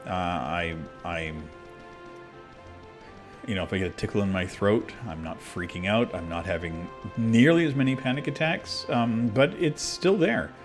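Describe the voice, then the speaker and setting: A man's voice: lowish voice, lively intonation, unhurried, music in the background. A man talking casually and candidly while playing a video game, rambling with many fillers and restarts. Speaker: gaming video creator. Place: abroad, recording at a computer.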